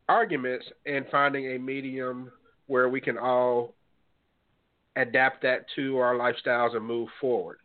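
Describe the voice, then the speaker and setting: A man with a mid-range voice hosts a call-in radio show, talking in an earnest, measured way with the odd filler. He is a little stuffed up from a cold. The sound is thin and tinny, as if it came through a phone line. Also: medium pitch.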